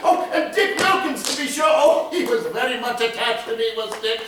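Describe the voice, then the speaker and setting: A man performs an elderly miser who gushes in breathless, laughing exclamations. The voice rides high and swings widely. It sounds distant and very echoey. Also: expressive, normal speed, a bit bright, slight background noise. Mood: giddy nostalgia. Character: miserly old man, stirred to giddy joy.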